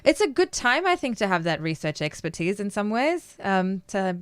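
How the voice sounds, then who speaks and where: A woman's voice: medium pitch, big pitch swings, moderate pace, clean tone, no room sound. A woman from Iran, raised in Germany, speaking as an academic guest in an interview, thoughtful and often laughing as she explains. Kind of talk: casual interview conversation.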